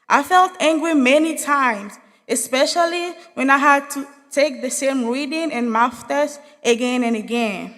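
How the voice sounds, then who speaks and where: A young woman delivers an earnest graduation speech. Her voice sits high and sounds bright and forceful. A long reverb from the big hall trails her words. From Haiti. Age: young adult. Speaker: graduating student speaker. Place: large hall.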